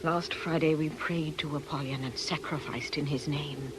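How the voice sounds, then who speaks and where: A woman's voice: low voice, steady tone, normal speed, noisy background, natural voice. A woman playing an ailing, middle-aged fortune teller in a radio drama, scornful and theatrical. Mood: vengeful. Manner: world-weary, scornful, declamatory.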